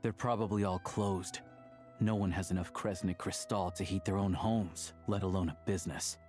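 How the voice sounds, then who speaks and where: A man's voice: low voice, steady tone, moderate pace, music in the background, close to an everyday voice. An actor playing a wry, world-weary townsman in a video game, with a laconic, easygoing manner. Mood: dry, resigned. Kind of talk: video game character dialogue.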